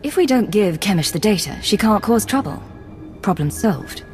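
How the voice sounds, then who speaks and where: A composed female imperial spy, voiced by a woman speaks in an even, mid-pitched voice. Her delivery stays dry and cool. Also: moderate pace, faint music behind, lightly acted.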